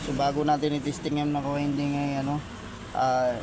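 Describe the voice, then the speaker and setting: Halting man over loud street noise. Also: fairly high pitch, little pitch movement. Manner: halting, repeats himself, addresses viewers often. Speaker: vlogger and repair technician. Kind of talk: casual hands-on gadget testing vlog. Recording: very noisy, with passing vehicles.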